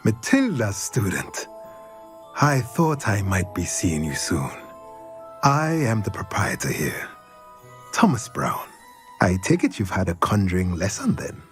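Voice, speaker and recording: An actor playing a formal, courteous male shop proprietor, sometimes dropping to a hush. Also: fairly low pitch, wildly swooping pitch, faint music behind, full character voice. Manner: formal, measured, at times hushed. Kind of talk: video game cutscene.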